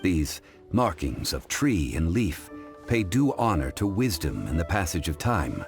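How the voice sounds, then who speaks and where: Game role: erudite, dignified gentleman, very deep, formal delivery with expressive intonation. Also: unhurried, creaky, full character voice. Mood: admiring, solemn. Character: dignified, scholarly, composed gentleman consultant. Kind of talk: video game cutscene dialogue. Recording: music behind the voice.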